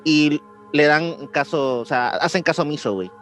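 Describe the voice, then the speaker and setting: A young Mexican-accented man tells a mystery story in loose, slang-heavy chat, stopping to act out voices in quotes. His voice sits a bit high. A soft music bed plays underneath. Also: moderate pace. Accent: Mexican Spanish. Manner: slangy, says "güey" often, acts out voices in quotes. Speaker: podcast co-host. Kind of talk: casual chat retelling a mystery.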